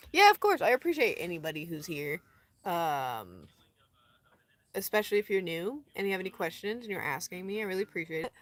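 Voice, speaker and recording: A chatty woman with a slightly low voice and no particular accent, talking into her phone outdoors in the desert. She rambles easily, laughs a lot and slips into quiet asides. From Colorado. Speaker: van-dwelling traveler streaming live. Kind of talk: casual live stream chat.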